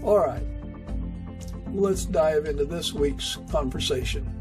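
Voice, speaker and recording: A man speaks as a podcast host in a slightly high register. His delivery is that of a prepared introduction and friendly interview chat. Music plays clearly behind him. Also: takes it slowly.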